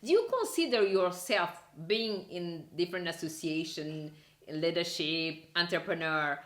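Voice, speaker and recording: A woman hosting an interview who speaks haltingly, with frequent restarts and loose phrasing. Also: fairly low pitch, animated, reverberant. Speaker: interview host. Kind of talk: interview questions.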